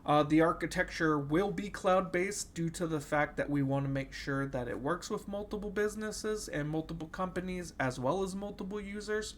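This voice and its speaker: A young man with a cold and a slightly nasal voice, giving a class project presentation with many fillers and pauses. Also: fairly high pitch, a little hiss or hum. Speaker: student presenting an assignment.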